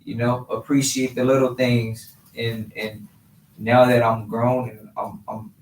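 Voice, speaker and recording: A man's voice: mid-range voice, flat delivery, measured pace. A man in his twenties from Los Angeles answering casually as an interview guest, often repeating phrases as he goes. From Los Angeles, partly raised in Honduras. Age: 20s. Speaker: guest, professional boxer. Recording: roomy, distant mic, faint background noise.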